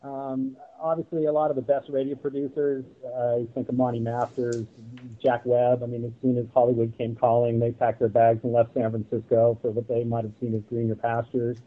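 Male panel guest, mid-pitched, considered and articulate, heard through a narrow telephone-style connection. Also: level tone, a little fast. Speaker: podcast guest, audio drama producer. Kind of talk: thoughtful roundtable discussion. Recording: thin, phone-like band-limited line.

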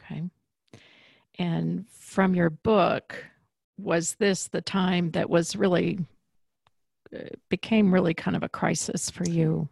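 A woman's voice: fairly low pitch, fairly even intonation, slow, vocal fry, pauses often, husky, dry recording. A woman hosting an interview, reacting with enthusiasm and asking follow-up questions. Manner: warm backchannels, enthusiastic reactions. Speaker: podcast host. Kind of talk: conversational interview.

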